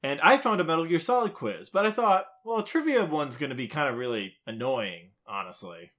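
Bright-voiced man bantering as a podcast co-host. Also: on the high side, expressive, a little fast. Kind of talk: casual bantering podcast chat, reading out quiz questions.

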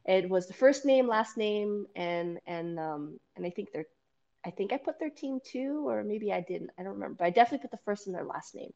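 A woman jiu-jitsu coach with a loud, carrying voice, chatting casually, laughing often and acting out what people said. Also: medium pitch, brisk, gravelly, dull audio. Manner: animated, laughs often, acts out quoted dialogue. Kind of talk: casual podcast chat.

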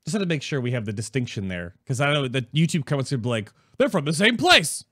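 A man's voice: mid-range voice, very animated, fast, pushed and bright, no room sound, studio quality. A man hosting a casual gaming show in a chatty way, with joking asides and self-interruptions. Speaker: podcast and stream host. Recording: music playing behind the voice. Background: background music.

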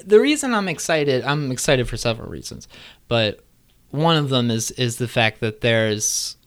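Smooth-voiced chatty man in his thirties. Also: medium pitch, lively intonation, normal speed, fairly bright tone. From Illinois, Midwest US. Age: 30s. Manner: enthusiastic, chatty, swears casually. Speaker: podcast host. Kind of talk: casual interview chat.

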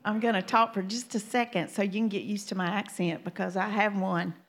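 A woman in her sixties from Louisiana, with a Louisiana accent, chatting to a church congregation as a minister's wife, homey and quick to laugh. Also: medium pitch, fairly even intonation. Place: church sanctuary.

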